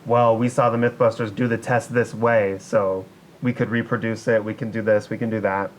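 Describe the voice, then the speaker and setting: A man co-hosting a podcast in casual banter, a bit hesitant as he thinks aloud. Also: normal speed. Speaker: podcast co-host. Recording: steady background noise with low rumble.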